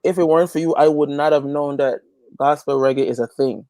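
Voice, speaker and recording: Higher-pitched man, emphatic talker. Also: steady tone, a little fast, a little mellow, no echo, clean studio sound. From Guyana. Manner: emphatic, repeats phrases for stress. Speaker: interview guest, gospel reggae artist and youth pastor. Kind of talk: casual interview talk with preaching streak.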